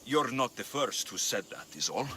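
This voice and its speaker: A man's voice: medium pitch, very animated, moderate pace, pushed and bright. A man voicing a guarded, wry young Greek mercenary in a cinematic video game, terse and probing. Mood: curious, wry. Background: background music.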